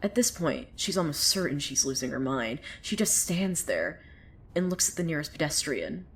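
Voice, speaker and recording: A woman's voice: deep voice, big pitch swings, normal speed. A woman playing a sardonic, opinionated storyteller in a fiction podcast, narrating conversationally with gossipy asides. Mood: wry, suspenseful. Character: opinionated, sardonic female storyteller who gossips about townsfolk. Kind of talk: dramatic first-person storytelling.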